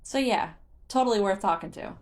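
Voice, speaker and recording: A woman voicing a friendly, theatrical male merchant in a fantasy story, with a casual, animated manner. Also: mid-range voice, normal speed, voice pushed up, close to an everyday voice. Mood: chatty. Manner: casual, animated, playfully dramatic.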